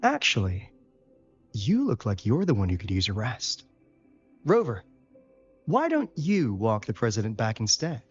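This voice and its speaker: An actor playing an easygoing, shrewd investigator in a video game, speaking in a casual, wry, husky voice. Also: male voice, fairly low pitch, wildly swooping pitch, measured pace, pauses often, full character voice. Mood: friendly, considerate. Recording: dull lo-fi game audio, faint music.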